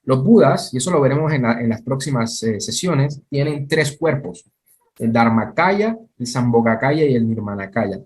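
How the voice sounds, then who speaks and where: A man's voice: medium pitch, animated, normal speed. A man in a mid-range voice explains things patiently, step by step. He often stops to check that his listener is following. He sounds like a teacher talking with a student over a video call. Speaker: Buddhist teacher.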